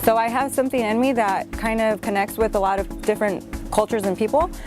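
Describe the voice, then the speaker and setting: A woman's voice: a little high, brisk. Upbeat young woman, faint music behind. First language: Spanish. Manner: upbeat, earnest, fillers. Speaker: reality show contestant. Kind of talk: audition tape interview.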